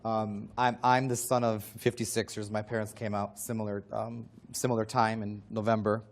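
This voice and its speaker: A man's voice: lowish voice, level tone, normal speed. A man moderating a panel, asking questions plainly with frequent ums.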